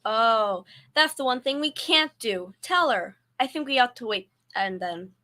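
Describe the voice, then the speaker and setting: A woman's voice: on the high side, lively intonation, a little slow, pushed and bright. A young woman playing a sensible, exasperated roommate with nerves underneath, in old-time radio comedy style. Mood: nervous, earnest.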